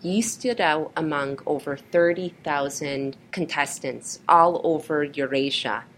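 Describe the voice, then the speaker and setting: Very low-voiced woman interviewer. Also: slight background noise, rough recording. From Canada. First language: Polish. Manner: formal, reads prepared questions. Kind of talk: scripted interview questions.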